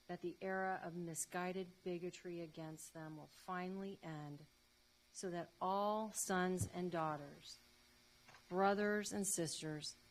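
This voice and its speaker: Woman host of a formal reading; fairly low voice, deliberate, noisy recording. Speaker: state senator, host of the reading.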